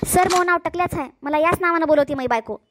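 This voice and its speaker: High-voiced henpecked bald man acted by a woman. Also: fast, background noise. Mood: sheepish. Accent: rural Marathi. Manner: plaintive, deferential, cheeky. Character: hapless, henpecked bald man in his forties. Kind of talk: comedy cartoon voice acting.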